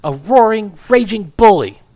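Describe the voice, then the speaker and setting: A man's voice: sits high, sing-song, normal speed, voice pushed up. A man voicing a small, furious married woman who screams and scolds her husband. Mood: furious scolding. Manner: shrieking, scolding.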